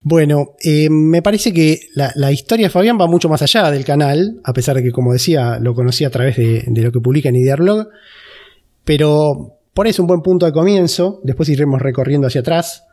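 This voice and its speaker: Mellow-voiced Argentine male interviewer. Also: on the high side, brisk. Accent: Argentine Spanish. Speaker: podcast host, interviewer. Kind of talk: casual interview.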